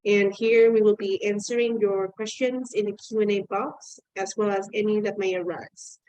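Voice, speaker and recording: A woman's voice: mid-range voice, steady tone, moderate pace, dark tone, no room sound, rough recording. A woman hosting an event, formally introducing the panelists. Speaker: event host.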